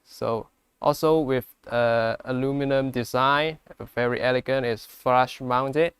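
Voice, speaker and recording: A man with a mid-pitched, very clear voice demonstrates products in a dry, close recording. He is a non-native English speaker who explains step by step, halting and repeating phrases as he goes. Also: fairly bright tone, no echo. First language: not English. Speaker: product presenter.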